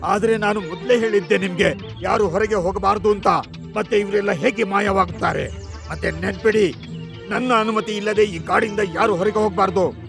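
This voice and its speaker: Gravelly, high-pitched lion king voiced by a man. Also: brisk, over music. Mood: stern. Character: male lion king of the forest, commanding, reassuring. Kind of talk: animated animal story dialogue.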